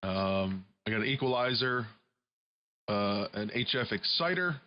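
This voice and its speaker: A man with a fairly low voice talks casually as an amateur radio operator. His processed speech arrives over a single-sideband link. The sound is somewhat dull and limited in bandwidth. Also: lively intonation, a little slow. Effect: single-sideband radio transmission with processed audio. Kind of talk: casual ham radio chat. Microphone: studio broadcast microphone. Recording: dull, band-limited shortwave radio audio.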